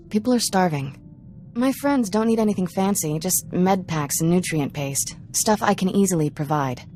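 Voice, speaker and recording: A streetwise young woman with a lowish voice. She is wry and casual in clipped phrases, like a game cutscene companion, with faint music behind. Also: a little mellow. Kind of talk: video game cutscene acting.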